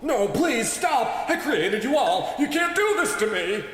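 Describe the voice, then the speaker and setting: An actor playing a panicky, arrogant animation tycoon in a comedic radio play, frantic and blustering. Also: male voice, very high-pitched, big pitch swings, a little slow, a bit bright, heavily acted. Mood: panicked. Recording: very echoey room with long reverb.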